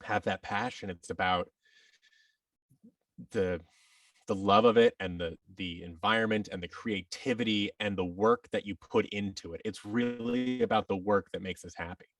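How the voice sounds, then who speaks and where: Low-voiced man, slightly off the mic. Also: lively intonation, normal speed, vocal fry, a bit bright, no echo, distant mic. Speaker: podcast guest, actor. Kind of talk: personal storytelling in an interview.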